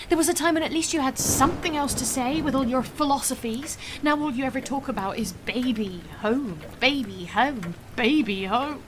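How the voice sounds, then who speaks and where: A woman's voice: sits high, normal speed, hardly any pauses, slight background noise, lightly acted. A woman playing a bitter, impatient, lovelorn young wife and mother in an audio drama, scornful and snappish. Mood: exasperated, scornful. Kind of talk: naturalistic audio drama acting.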